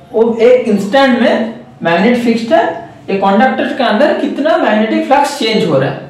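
Give me a man with a very high voice teaching electronics and repeating each point, heard from a distance in a roomy space. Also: darkish tone. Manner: explains step by step, repeating key points; addresses viewers as friends. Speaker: electronics trainer. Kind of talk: whiteboard circuit lesson.

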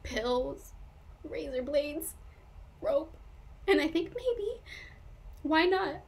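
A woman's voice: high-pitched, sing-song, very slow, vocal fry, full character voice. A woman performing a stage monologue as a troubled young woman, intense, wounded and pleading with her lover. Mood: despairing, bitter.

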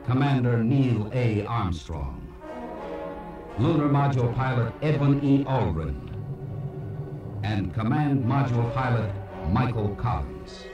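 A very roomy, distant cassette recording of a man narrating over music. His delivery is formal, measured and solemn, like a scripted slide-show documentary. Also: medium pitch, big pitch swings, muffled recording. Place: played from a cassette, heard in a room. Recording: echoey, distant playback of cassette tape.

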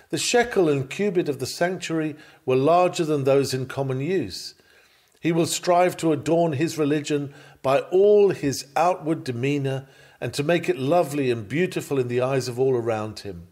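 A man reads a written sermon aloud in a measured, earnest, rhetorical manner. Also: fairly high pitch, darkish tone. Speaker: reader of a sermon.

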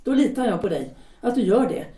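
A woman's voice: on the high side, animated, warm tone, echoey room, far from the mic, close to an everyday voice. A woman playing a firm, harried young wife. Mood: insistent. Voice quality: firm, insistent. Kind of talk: audio drama acting.

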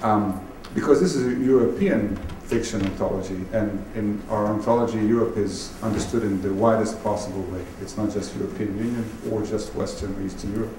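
A man from Bosnia, a native Bosnian speaker, moderating a panel discussion in halting, reflective phrases. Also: on the low side, warm tone. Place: public event room, recorded at a distance. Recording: distant echoey hall, clearly noisy.

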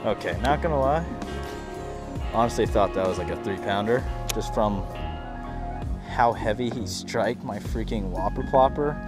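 Young man, fishing vlogger, lowish voice, excitable casual chat, background music. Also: normal speed. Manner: excitable, blurts out exclamations, says "freaking" a lot. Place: pond bank outdoors, then home gaming room indoors.

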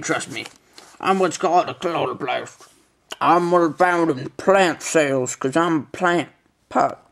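A man's voice: on the high side, a bit bright, heavily acted. A man voicing a grumpy, boastful old chloroplast character with a Southern US accent, in a comic educational sketch.

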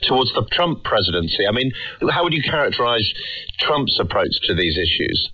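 A man's voice: mid-range voice, big pitch swings, a little fast, slightly rough. A man presenting a current-affairs radio programme, asking questions and reading scripted links. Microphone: broadcast heard over shortwave radio. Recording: muffled shortwave radio capture.

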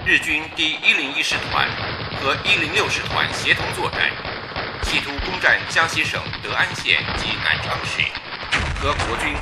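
An actor playing a solemn, declamatory 1930s wartime radio announcer reading bulletins in a radio drama. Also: male voice, a little high, big pitch swings, normal speed, over loud music, poor audio. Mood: grave.

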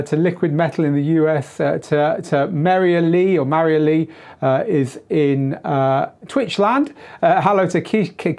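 A man's voice: fairly high pitch, a little mellow. Tired-voiced man hosting a live q&a. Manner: chatty, filler-heavy, restarts often; moves around gesturing at equipment while talking. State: voice tired and going hoarse. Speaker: DJ tutor and live Q&A host. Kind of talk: live-streamed Q&A chat. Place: small home studio with shelves of gear. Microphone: lapel mic.

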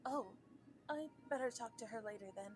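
A woman voicing a gentle, breezy female rabbit guildmaster in a comic dub. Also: fairly high pitch, brisk, faint music behind, natural voice. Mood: kind, concerned.

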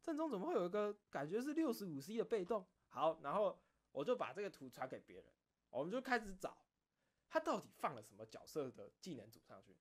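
A man's voice: sits high, quick pace. A man with a Taiwanese accent chatting casually as an online creator, telling anecdotes animatedly, acting out both sides of exchanges and venting self-critically. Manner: animated storytelling, acting out back-and-forth exchanges. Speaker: video creator and streamer.

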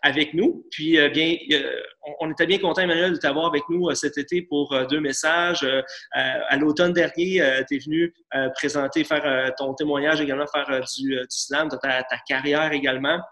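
A man's voice: fairly high pitch, steady tone, fairly quick, bright, forceful tone. A middle-aged man, asking questions hesitantly with many fillers as the host of a video-call interview. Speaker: church pastor interviewing. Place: living room. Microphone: laptop camera on a video call.

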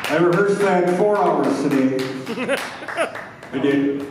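A man's voice: fairly high pitch, slow pace. Older man doing stand-up in an echoey room. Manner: rambling, folksy, checks in with the audience. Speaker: stand-up comedian at an open mic. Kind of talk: stand-up comedy. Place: small bar stage, indoors. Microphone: handheld mic through speakers, recorded by a far camera. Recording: echoey room, distant, noisy.

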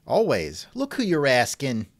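A man's voice: mid-range voice, wildly swooping pitch, unhurried, dry recording. A man hosting a scripted comedy interview show in a theatrical, wisecracking manner, close to the mic. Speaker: podcast host and interviewer.

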